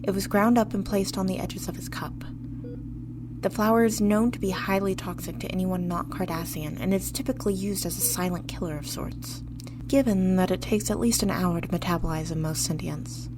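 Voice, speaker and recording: A woman's voice: fairly low pitch, fairly even intonation, moderate pace, background noise, lightly acted. A woman playing a logical, composed starship doctor in a sci-fi radio drama, clinical and matter-of-fact. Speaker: voice actor.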